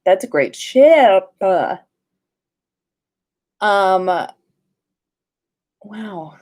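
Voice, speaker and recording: Female livestream host, unhurried, medium pitch, informal and playful chat. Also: animated, darkish tone. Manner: playful, sing-song at times; informal, swears casually.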